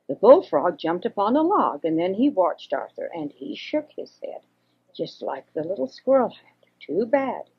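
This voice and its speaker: An older woman reads a children's story expressively, with a husky voice, on a homemade cassette. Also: medium pitch, sing-song, measured pace. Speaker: storyteller reading for children and grandchildren. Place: home recording. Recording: dull homemade 1980s cassette recording.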